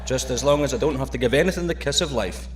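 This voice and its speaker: An actor playing a joking Scottish submarine pilot who misses home, in an audio drama. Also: male voice, mid-range voice, fast talker, close to an everyday voice. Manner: cheeky, joking.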